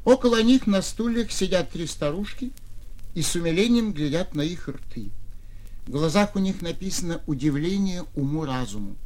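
A man reading a short story for radio as an actor, in expressive theatrical narration. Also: a little high, moderate pace.

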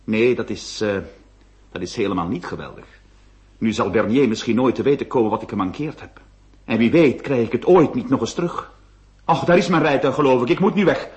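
An actor playing an excitable, bewildered male notary in a theatrical radio play, exclaiming and repeating himself when alarmed. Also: mid-range voice, a little fast, close to an everyday voice. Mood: surprised, flustered.

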